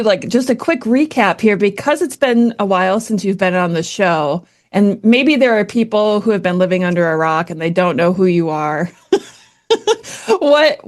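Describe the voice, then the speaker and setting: A woman hosts a history podcast and interviews her guest in a relaxed, chatty manner. She laughs often as she talks. Her voice sits at an ordinary middle pitch. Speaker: podcast host.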